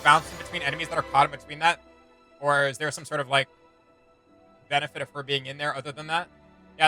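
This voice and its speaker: Bright-voiced, enthusiastic young man. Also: fairly high pitch. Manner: enthusiastic, slangy. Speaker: reaction video host. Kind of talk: casual reaction chat.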